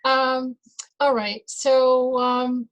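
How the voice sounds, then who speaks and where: A woman from the Netherlands, a doula, talking as an interview guest in a slow, hesitant way with many fillers and restarts. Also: sits high, level tone, lo-fi sound, a crowd in the background. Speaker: guest, birth and rebirth doula. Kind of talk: casual conversational interview.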